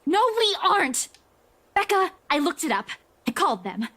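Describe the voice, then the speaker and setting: A woman's voice: very high-pitched, very animated, normal speed, a bit bright, exaggerated voice. An actress playing an anxious, insistent young woman in a visual novel game, pleading urgently and emotionally.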